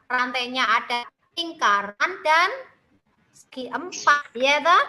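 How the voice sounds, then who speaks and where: A woman's voice: high voice, low-quality recording. A woman teacher with a Javanese accent, patiently explaining a lesson to young children over a video call. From Central Java, Indonesia. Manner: slow, patient, addresses children with tag questions. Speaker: primary school teacher. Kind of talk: online class lesson.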